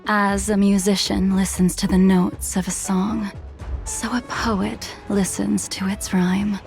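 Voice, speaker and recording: Game dub role: aloof swordswoman, unhurried, gravelly creak, formal and cryptic. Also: medium pitch, flat delivery, darkish tone, soft background music. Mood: composed, appraising. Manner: measured, formal, composed. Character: calm, aloof blind swordswoman, cryptic and knowing. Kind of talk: English video game dub acting.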